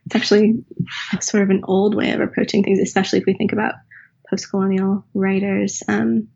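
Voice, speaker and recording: A woman's voice: medium pitch, level tone, fairly quick, creaky, dark tone, husky. A woman writer and teacher from the United States, answering interview questions in a thoughtful, searching way. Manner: thoughtful, searching, with restarts and filler. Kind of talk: reflective conversational interview. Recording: dull lo-fi recording.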